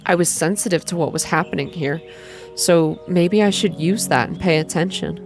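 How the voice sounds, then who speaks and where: A woman reads a story aloud as an ASMR narrator. Her voice sits on the low side, and music plays faintly behind her. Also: normal speed. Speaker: narrator reading a visual novel aloud.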